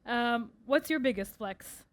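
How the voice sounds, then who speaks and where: A woman moderates a live onstage interview, tossing out quick, playful questions in a slightly high voice. She then steers the audience Q&A and hands the mic around. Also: no echo. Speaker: host and moderator. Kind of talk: rapid-fire onstage interview.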